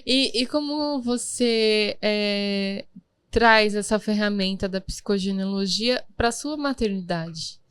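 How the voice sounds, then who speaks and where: A woman's voice: medium pitch, slow pace, smooth, no echo. A woman hosting an interview, asking questions and encouraging her guest along. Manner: attentive, encouraging, many short affirmations. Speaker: interviewer, host. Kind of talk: interview conversation.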